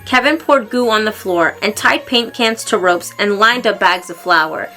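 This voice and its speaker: Female storybook reader, bright and clear-cut, expressive delivery for young listeners, soft music underneath. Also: mid-range voice, normal speed. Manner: expressive, acting out exclamations and dialogue. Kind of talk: read-aloud children's story narration.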